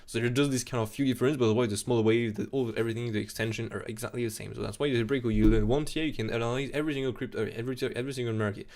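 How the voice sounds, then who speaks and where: A young man with a French accent, talking casually on a live stream about trading charts, excitable and full of restarts, recorded at a desk mic in a small room. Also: mid-range voice, very fast, few pauses. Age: young adult. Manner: excitable, repeats "absolutely insane", many restarts. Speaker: crypto chart analyst streamer.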